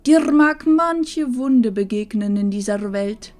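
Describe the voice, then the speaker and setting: Slow, wise old grandmother played by a woman. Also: a little high, animated, darkish tone, soft background music. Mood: solemn, earnest. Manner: solemn, measured, tender, with breathy phrase endings. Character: wise, loving old grandmother giving parting advice. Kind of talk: fantasy audio drama acting.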